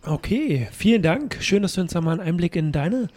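A man's voice: high-pitched, animated, fairly quick, darkish tone. A man hosting a club news podcast, upbeat and chatty, thanking guests and reading notices. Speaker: podcast host.

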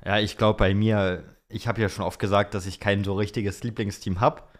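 Lowish-voiced young man in casual chat. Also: little pitch movement, moderate pace. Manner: informal, youthful slang. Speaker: podcast co-host.

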